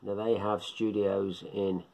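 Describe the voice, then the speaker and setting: A middle-aged man rambles and muses aloud, repeating himself as he talks casually to a close phone camera. His voice is very low, gravelly and husky. Clocks tick in the small living room behind him. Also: level tone, slow, darkish tone, poor audio. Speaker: shortwave radio hobbyist vlogger. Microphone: phone or webcam at close range.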